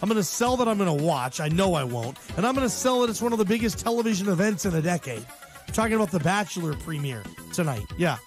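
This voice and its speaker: High-pitched American sports radio guy. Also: very animated, fast, soft background music. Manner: conversational, opinionated, slangy, emphatic repetition. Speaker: sports talk radio host. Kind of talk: casual sports radio commentary. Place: radio show.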